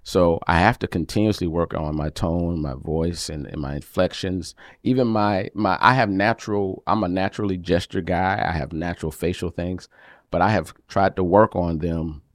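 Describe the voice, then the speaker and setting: A man with a deep voice that carries, co-hosting a casual chat with his wife, animated and getting louder when excited. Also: moderate pace, no room sound, studio quality. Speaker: podcast co-host. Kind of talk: casual married-couple chat.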